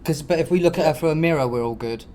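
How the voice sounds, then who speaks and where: A man with a fairly high-pitched voice chats casually on a comedy podcast. He rambles through his points in slangy, sweary language. There is noticeable noise in the recording. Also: quick pace, a little mellow. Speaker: podcast co-host. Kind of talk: casual comic podcast chat. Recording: clear background noise.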